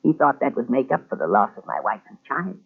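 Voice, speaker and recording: Gravelly, deranged old motorman. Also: mid-range voice, very animated, fast talker, full character voice. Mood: brooding, gloating. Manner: rambling, pleading, eerie. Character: deranged, vengeful old subway motorman, a murderer. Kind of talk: 1940s radio thriller acting. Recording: tinny, band-limited old radio recording.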